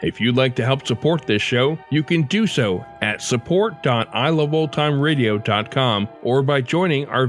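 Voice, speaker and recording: A man hosting a podcast, reading a scripted show outro. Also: mid-range voice. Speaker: podcast host. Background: faint music.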